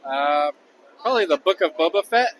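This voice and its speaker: A man at a convention giving clear, opinionated answers in a casual interview. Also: fairly high pitch, animated, moderate pace, fairly bright tone, a little hiss or hum, low-quality recording. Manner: opinionated, articulate. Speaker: convention attendee being interviewed. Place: busy convention hall.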